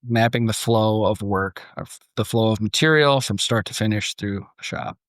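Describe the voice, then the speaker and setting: A man hosting a casual conversational podcast, talking like an animated storyteller who acts out imagined remarks. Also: on the low side, normal speed, no echo, clean studio sound. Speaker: podcast host.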